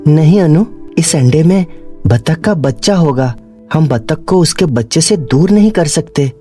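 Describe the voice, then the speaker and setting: A woman's voice: low-pitched, animated, measured pace, warm tone, faint music behind. A woman voicing a kind, humble working boy, an earnest older brother in a cartoon story.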